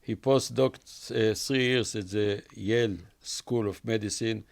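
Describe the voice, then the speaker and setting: A man's voice: on the low side, steady tone, a little slow, gravelly. An older man from Israel hosting a studio interview, formally and effusively introducing his guest in simple English. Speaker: interview host.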